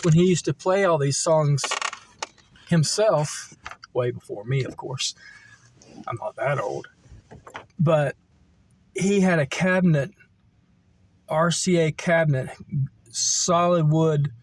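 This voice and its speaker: Middle-aged man, American accent, slightly high voice, casual storytelling, halting and meandering. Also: slight background noise, poor audio. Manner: halting, meandering, thinks aloud. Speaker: vlogger telling personal stories. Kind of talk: casual rambling reminiscence. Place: camper van.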